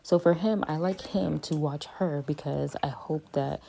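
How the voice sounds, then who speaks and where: A woman in her thirties, a parent vlogging home therapy with her child, speaking in a calm, monotone, matter-of-fact way from a phone camera in a small playroom. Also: low-pitched, measured pace, vocal fry. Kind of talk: home therapy vlog, talking while working with her son. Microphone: phone camera on a stand a few feet away.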